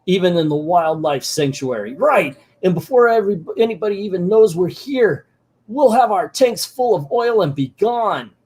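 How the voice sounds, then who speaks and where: A man's voice: high voice, expressive, normal speed, a little mellow, husky, voice pushed up. A man doing a fawning villain's henchman. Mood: scheming. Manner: fawning, sneaky. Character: eager henchman of an oil-drilling boss.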